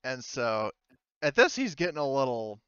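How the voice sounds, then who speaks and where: A man narrating a tabletop game as game master, talking casually and haltingly with some swearing. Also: medium pitch, big pitch swings, a bit bright, clear, resonant tone, dry recording, highs cut off.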